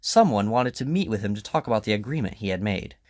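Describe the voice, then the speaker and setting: A man playing a brisk, confident detective in an audio drama, with a probing, theatrical, declarative manner. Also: fairly low pitch, expressive, a little mellow, no echo, studio quality, lightly acted. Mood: confident, expository. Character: brisk, confident male detective, incisive and commanding.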